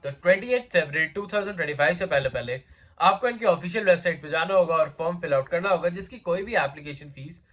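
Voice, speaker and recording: Host, male, slightly raised pitch, sore throat, chatty explainer, loose Hindi-English mix. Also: fast talker, fairly bright tone. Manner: chatty, repetitive fillers, conversational address to viewers. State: sore throat, coughing now and then. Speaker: job vacancy channel host.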